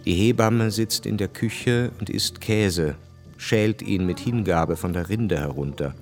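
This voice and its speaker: A man giving sober, measured scripted narration for a radio drama. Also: on the low side, darkish tone, faint music behind.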